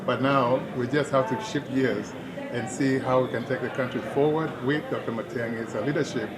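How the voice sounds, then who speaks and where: A man's voice: medium pitch, fairly even intonation, normal speed, background noise. A man with a Kenyan accent, interviewed at a town hall, speaking earnestly and persuasively with frequent restarts. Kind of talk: street interview, political commentary. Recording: loud crowd behind.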